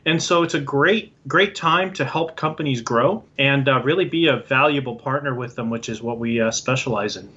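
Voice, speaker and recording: Man, from Puerto Rico, interview guest, bright tense voice, lots of "uh" and restarts, muffled sound. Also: mid-range voice, moderate pace, hardly any pauses. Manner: hesitant, many fillers and restarts. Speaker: guest, IT business owner. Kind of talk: casual interview answers. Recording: dull lo-fi sound.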